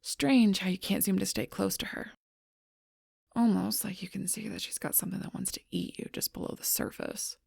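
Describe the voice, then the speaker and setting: A woman playing a fierce, protective alpha werewolf in an audio roleplay, bitter and confrontational, with a breathy, rough-edged voice. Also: mid-range voice, fairly even intonation, moderate pace, a little mellow, no room sound, studio quality. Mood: bitter, irritated. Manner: intense, confrontational, swearing, often dropping to near-whisper. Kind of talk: audio roleplay acting addressed to the listener.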